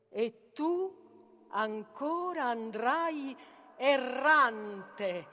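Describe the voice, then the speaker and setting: A woman playing a solemn mystic guide of the dead in a theatrical, incantatory poetic recitation with drawn-out phrasing. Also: medium pitch. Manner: incantatory, drawn-out, swinging from whispers to loud declamation. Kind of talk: theatrical poetic recitation, avant-garde stage reading. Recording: long hall-like reverb.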